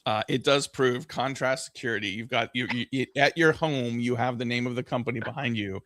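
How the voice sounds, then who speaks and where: Middle-aged male host, booming voice, dry close sound, rambling and jokey. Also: fairly quick, no echo. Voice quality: loud booming voice. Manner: joking, self-deprecating, rambling with many restarts. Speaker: podcast host. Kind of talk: casual livestream chat. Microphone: not using the desk mic.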